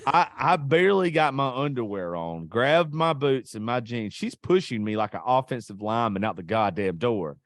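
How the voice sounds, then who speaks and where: A male podcast host telling raunchy stories in a loose, profane, joking way. His voice sits at an ordinary middle pitch. It is recorded close and dry, with no room sound. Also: animated, normal speed, hardly any pauses, dry recording. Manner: profane, joking, laughs at himself. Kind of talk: casual crude storytelling chat.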